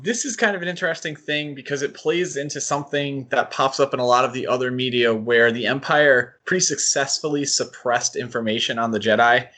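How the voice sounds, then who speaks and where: A man co-hosting a podcast, discussing things casually in a halting, hedging way. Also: mid-range voice, pushed and bright. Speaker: podcast co-host.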